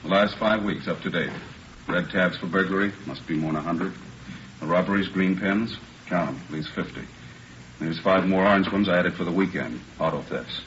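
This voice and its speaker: Muffled, low, brusque police captain. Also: male voice, normal speed, fairly bright tone. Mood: grimly insistent. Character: gruff, demanding male police captain, middle-aged boss. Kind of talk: vintage radio drama acting. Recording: muffled old radio recording, faint noise.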